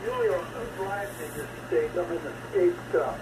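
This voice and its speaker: Higher-pitched American man on noisy shortwave radio. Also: moderate pace, reverberant. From West Coast of the United States. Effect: heard over shortwave radio. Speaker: amateur radio operator. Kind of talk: casual ham radio conversation.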